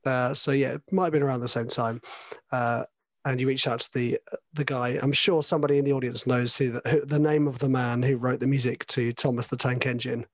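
A man hosting a podcast, hoarse from a cold, talking casually with rambling asides. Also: medium pitch, flat delivery, fairly quick, no room sound. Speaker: podcast host.

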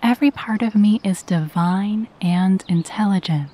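A woman guiding a meditation in a soft, breathy voice, with a gentle, soothing and unhurried delivery. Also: mid-range voice. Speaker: meditation guide. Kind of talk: guided meditation with spoken affirmations.

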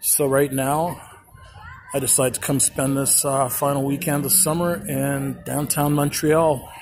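A man giving a casual food review vlog while walking through a city plaza, talking slowly with many pauses and fillers, recorded on a handheld phone. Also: medium pitch, level tone. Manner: slow, halting, with many ums and uhs. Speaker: vlogger. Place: outdoor pedestrian plaza downtown. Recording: outdoor street noise behind.

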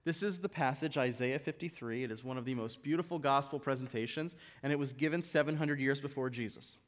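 A man in his thirties preaching a sermon from a pulpit in a church hall, animated and rising to emphatic peaks, reading scripture aloud into a stand mic. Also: medium pitch, a little fast. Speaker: guest preacher. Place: church stage, mid-size indoor hall.

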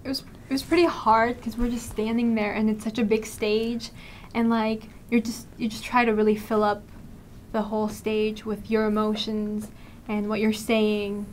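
A woman's voice: fairly high pitch, level tone, normal speed. A young woman student actor answering casually in an interview. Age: teens to young adult, high school student. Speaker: student cast member being interviewed. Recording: faint background room noise.

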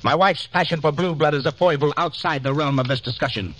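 A man's voice: on the high side, fairly quick, fairly bright tone. Pompous, irritable theater man. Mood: haughty, irritated. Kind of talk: 1950s radio drama acting.

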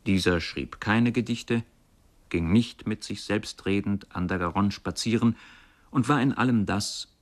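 A man narrating literary prose and reciting poetry in a measured, formal manner for radio. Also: lowish voice. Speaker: radio feature speaker.

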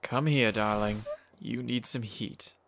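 An actor playing a suave, courteous gentleman hunter in a comic dub, speaking in a genteel, theatrical way with a calm menace. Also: lowish voice, slow pace, creaky, a little hiss or hum, full character voice. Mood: gently courteous. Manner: genteel, theatrical, old-fashioned endearments.

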